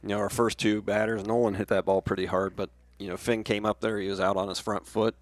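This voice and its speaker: A man's voice: fairly low pitch, flat delivery, fairly quick, no echo. An American man giving casual live analysis as color commentator on a baseball broadcast.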